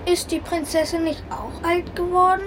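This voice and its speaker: A woman's voice: very high-pitched, steady tone, normal speed. A woman in a radio drama playing a curious, insistent young listener who eagerly questions a storyteller. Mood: eager curiosity. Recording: noticeable background noise.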